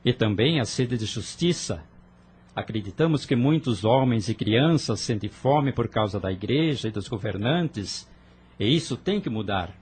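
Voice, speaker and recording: A man's voice: mid-range voice, animated, measured pace, darkish tone, husky. A man playing a fervent young rebel. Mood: persuasive. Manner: fervent, persuasive, earnest. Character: earnest young man, idealistic rebel brother. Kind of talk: audio drama acting.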